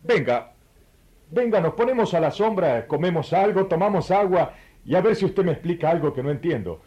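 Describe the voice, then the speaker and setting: A man's voice: high voice, expressive, moderate pace, mellow, lo-fi sound. A man playing a courteous, inquisitive royal treasurer from Ethiopia in a radio play, speaking politely and invitingly. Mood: eager, inviting.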